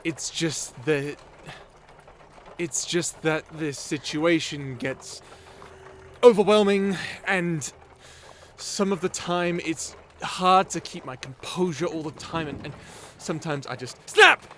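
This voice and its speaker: A man's voice: on the high side, a bit bright. Man playing anxious human captive, noisy background. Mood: overwhelmed. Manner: nervous, hesitant, polite. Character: anxious, overwrought young human captive competing for survival. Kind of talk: cinematic audio roleplay acting.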